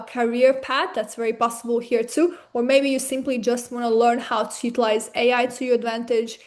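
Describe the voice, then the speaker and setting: Upbeat woman hosting a webinar, flat tone. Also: on the high side. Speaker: webinar host. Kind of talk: enthusiastic online presentation.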